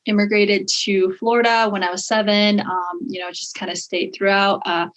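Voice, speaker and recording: Woman in her thirties, podcast guest, bubbly, giggly, lots of ums, mid pitch. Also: level tone, moderate pace. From Hong Kong. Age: 30s. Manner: bubbly, laughs often, many fillers. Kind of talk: casual interview.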